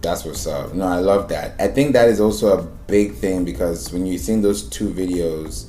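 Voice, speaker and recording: A man's voice: deep voice, normal speed, warm tone. A man hosting a podcast, interviewing a guest in a casual, conversational way, recorded with an echoey room sound.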